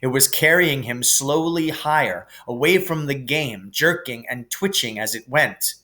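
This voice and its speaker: A man reading a children's novel aloud as expressive narration. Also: mid-range voice, measured pace. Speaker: reader.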